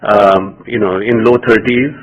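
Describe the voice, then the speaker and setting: Halting male executive on an earnings call. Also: lowish voice, fairly even intonation, slightly rough, lo-fi sound. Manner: halting, hedging, often rephrases mid-sentence. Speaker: company executive. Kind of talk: answering analyst questions on an earnings call.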